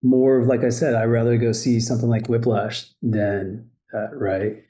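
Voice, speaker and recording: A man in his fifties chatting casually as a guest, animated and often checking in with "right?". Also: mid-range voice, normal speed. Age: 50s. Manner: animated, keeps adding "right?". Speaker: guest filmmaker. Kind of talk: casual chat.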